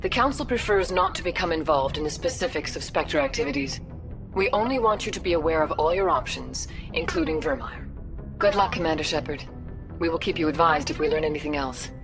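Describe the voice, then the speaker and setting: Composed, lowish-voiced alien councillor. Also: female voice, animated, a little fast. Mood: composed, official. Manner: composed, formal. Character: diplomatic female alien councillor, composed and official. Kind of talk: video game voice acting. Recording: music behind the voice.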